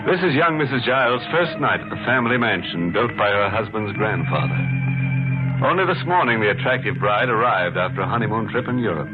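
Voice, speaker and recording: An actor playing a gleefully macabre horror host and storyteller on a 1950s radio show, with a theatrical, mock-genial delivery. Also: male voice, lowish voice, lively intonation, fairly quick, natural voice. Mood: ominous. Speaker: host and narrator. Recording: tinny old radio broadcast with music.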